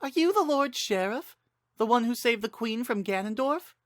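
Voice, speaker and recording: A man voicing a curious, excitable woman traveler in a storybook reading. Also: extremely high pitch, normal speed, no room sound, clean studio sound, voice pushed up. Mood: excited curiosity.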